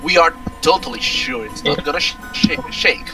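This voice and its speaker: High, animated, creaky-voiced Brazilian man. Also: normal speed, distant mic, over music, rough recording. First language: Portuguese. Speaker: reader in a group visual novel stream. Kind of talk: reading narration aloud, with casual asides.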